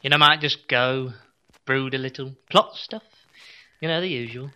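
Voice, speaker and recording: Bright, melodramatic, down-on-his-luck evil genius played by an actor. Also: mid-range voice, normal speed, smooth, dull audio. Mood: resigned, casual. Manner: melodramatic, resigned grumbling. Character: weary, self-pitying male evil-genius doctor, down on luck. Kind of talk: comedy audio drama acting.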